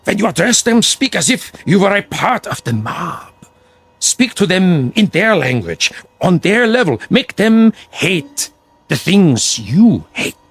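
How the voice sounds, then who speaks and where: An actor playing a sinister, commanding dead dictator in a radio drama, with a husky, insinuating, formal delivery. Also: male voice, high-pitched, very animated, unhurried, heavily acted. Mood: instructive, impassioned. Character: sinister dead dictator, coaxing a follower in demagoguery. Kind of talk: vintage-style radio drama acting.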